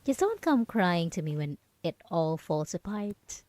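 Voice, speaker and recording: A woman playing a smug, possessive, manipulative yandere roommate in an ASMR roleplay, taunting deliberately and at times slipping into a whisper. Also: fairly low pitch, sing-song, darkish tone, no echo. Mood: cold, bitter. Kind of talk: ASMR roleplay monologue, acted.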